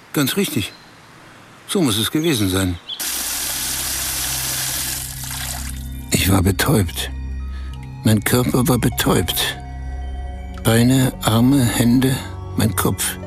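An actor playing a man in a radio play who confides a strange, embarrassing adventure in short, halting phrases. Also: medium pitch, vocal fry. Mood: dazed, remembering. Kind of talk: radio play, intimate first-person storytelling.

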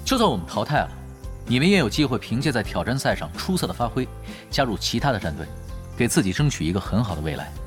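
男演员饰演从容自信、语调多变的男队长. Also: male voice, mid-range voice, big pitch swings, fast, lightly acted. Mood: calm, assured. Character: easygoing, confident veteran male esports team leader. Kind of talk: Mandarin audio drama acting. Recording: clear music under the voice.

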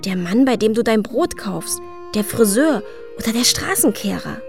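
An actress playing a pensive, unsentimental, doubting woman in a radio drama, speaking in a reflective, measured way. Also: a little high, animated, creaky, over music. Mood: pensive, bewildered.

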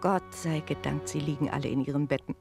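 An actress playing a worldly, teasing innkeeper's wife who tells stories expressively and confidingly in a German radio play. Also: female voice, sits low, fairly even intonation, a little fast, vocal fry, over music. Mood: relieved, convivial.

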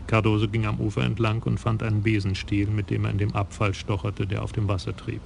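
A man narrating a German crime radio play in a calm, measured way. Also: lowish voice, creaky, a little hiss or hum.

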